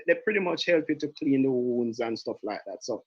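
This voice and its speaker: A man's voice: medium pitch, animated, fairly quick, darkish tone, lo-fi sound. Jamaican man leading a chatty call. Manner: chatty, repeats himself, goes off on tangents. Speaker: sales team leader giving a training. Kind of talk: casual online training call.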